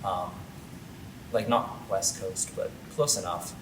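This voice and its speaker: A man's voice: fairly even intonation, takes it slowly. A man from Hawaii, a student, answering interview questions in an easygoing, slightly hesitant way. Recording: distant mic in echoey room with rumble.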